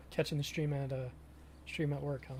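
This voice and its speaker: A young man chatting casually with viewers during a live stream of a farming game, often pausing and restarting his sentences. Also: on the high side, flat delivery, warm tone, slight background noise. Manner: halting, self-correcting, chatty with viewers.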